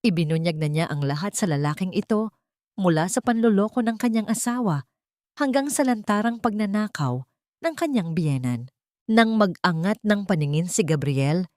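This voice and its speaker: Woman narrator, scripted dramatic storytelling, measured, slightly low pitch, dry close-mic sound. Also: a little mellow, no room sound.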